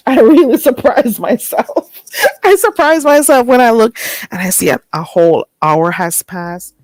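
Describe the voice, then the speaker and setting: A woman's voice: a little high, measured pace, a little mellow, no echo. A woman hosting a late night live chat with viewers. She talks in a chatty, emphatic way, repeats herself, and sounds stuffy and a little nasal. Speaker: live stream host.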